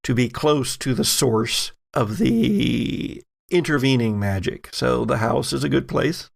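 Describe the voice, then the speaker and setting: A man's voice: mid-range voice, lively intonation, a little slow, vocal fry. A man working as game master on a podcast, narrating and refereeing the game with theatrical storytelling phrasing.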